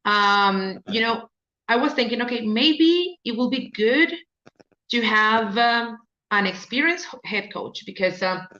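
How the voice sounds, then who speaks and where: A woman's voice: on the high side, animated, slow pace, bright, forceful tone, lo-fi sound. Chatty Mexican-accented woman sports host. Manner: rambling, thinks aloud with many fillers. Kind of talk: casual sports talk.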